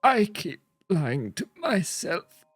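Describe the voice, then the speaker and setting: A streamer voicing a wounded, lonely male monster in a story game, pleading and bitter in a halting, stammering, drawn-out way. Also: medium pitch, very animated, breathy edge, no echo, faint music behind, pitched above the performer's own voice, exaggerated voice. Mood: sorrowful, bitter.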